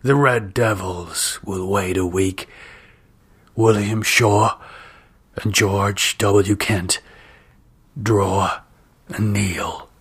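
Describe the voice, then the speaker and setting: A man voicing a grave, solemn stranger, a ghost of the old West, speaking slowly and deliberately in a storybook reading. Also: lowish voice, steady tone, fairly bright tone, husky, exaggerated voice.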